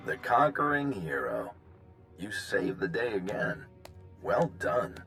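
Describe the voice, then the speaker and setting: An actor playing a breezy, upbeat intelligence officer in a video game, casual and wry. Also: male voice, on the low side, big pitch swings, slow pace, creaky, a bit bright, soft background music, full character voice. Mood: pleased, wry. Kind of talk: video game dialogue acting.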